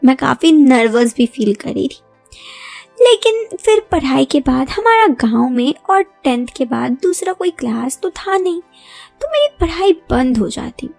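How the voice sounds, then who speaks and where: Young female storyteller, very lively intonation, creaky edges, conversational and confiding, faint music behind. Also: high voice, a little slow. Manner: conversational, confiding, with rhetorical asides to listeners. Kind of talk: first-person Hindi audio story, chatty narration.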